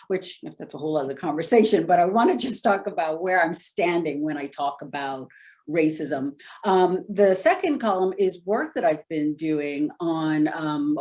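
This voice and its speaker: A woman's voice: sits low, a little mellow. A woman from the Bahamas giving an academic lecture over a video call, talking conversationally and thinking aloud with frequent fillers. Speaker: invited lecturer.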